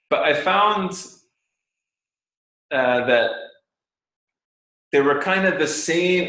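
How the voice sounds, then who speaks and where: A man's voice: mid-range voice, a bit bright. A young Canadian man talking casually as an interview guest, thoughtful and rambling, with many fillers and restarts. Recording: roomy echo.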